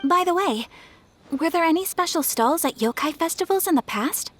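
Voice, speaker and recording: A woman voicing a polite, composed and gentle young noblewoman in an anime game. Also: extremely high pitch, expressive, normal speed, slight background noise. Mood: curious.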